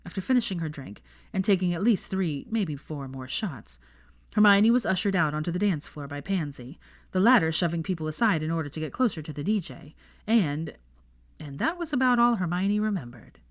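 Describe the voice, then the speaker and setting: Woman narrator, scripted fiction reading, lowish pitch, very lively intonation. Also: brisk. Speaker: audiobook narrator of fan fiction.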